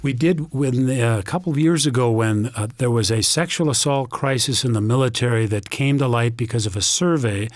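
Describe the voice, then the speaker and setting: A man's voice: mid-range voice, brisk, few pauses, no room sound, studio quality. A man speaking as a guest on a radio talk show, explaining things earnestly. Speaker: interview guest. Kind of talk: conversational radio interview.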